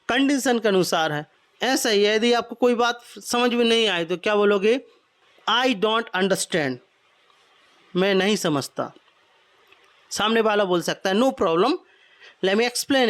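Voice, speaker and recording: A man in his twenties from Madhya Pradesh teaching English phrases, explaining in Hindi and repeating each phrase slowly. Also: high-pitched, a bit bright. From Madhya Pradesh, India. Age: 20s. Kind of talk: spoken English lesson.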